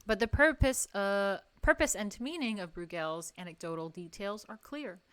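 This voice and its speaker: A woman's voice: medium pitch, normal speed, clean tone. A woman with a New York accent reads an art history book aloud to a live audience and breaks off for wry personal asides. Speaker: host reading an art history book to viewers. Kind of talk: casual livestream reading and commentary.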